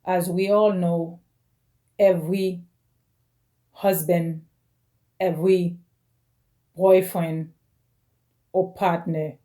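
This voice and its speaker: Lady in her 40s, halting personal vlog, lowish warm voice. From Caribbean. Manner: slow, halting, phrase by phrase. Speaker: vlogger. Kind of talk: personal video message.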